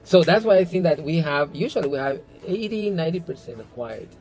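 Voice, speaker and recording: A man's voice: a little high, animated, brisk, darkish tone. A man whose first language is Spanish, answering interview questions in an earnest, casual way, with many restarts and "you know".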